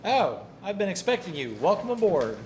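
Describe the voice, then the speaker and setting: Guy playing a sub exec officer in a war drama, polite and orderly, highish voice, slight noise. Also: very animated, moderate pace, a little mellow. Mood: courteous. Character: courteous, efficient submarine executive officer, male. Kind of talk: scripted wartime naval drama. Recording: faint background noise.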